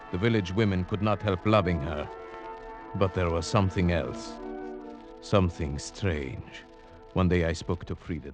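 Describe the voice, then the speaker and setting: An actor playing a calm, analytical investigator, speaking in a measured, thoughtful way in 1940s radio drama style. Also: male voice, sits low, lightly acted. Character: calm, analytical male investigator narrating his tale. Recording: dull vintage radio recording with music.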